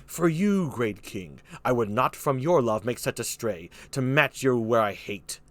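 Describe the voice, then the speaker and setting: A man acts out a commanding old king from a stage tragedy, bitter and wrathful. He declaims slowly and deliberately, swinging widely in pitch, in a dry, close sound. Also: expressive, fairly bright tone, dry recording. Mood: scornful. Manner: slow, emphatic declamation with pauses.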